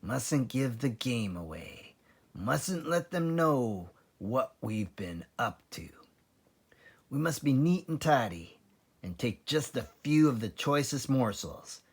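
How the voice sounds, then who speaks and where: A cunning storybook father fox, played by a man, excitable and bossy. He relishes every word and swings through a lively range of pitch. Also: slow, husky, full character voice. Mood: relishing, sly. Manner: exclamatory, relishing, commanding.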